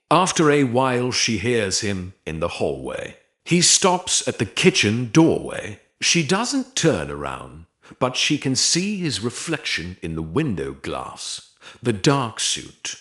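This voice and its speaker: A man narrating dramatic romance fiction in a measured, deliberate way, in short phrases with pauses. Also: mid-range voice, a bit bright. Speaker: audiobook narrator.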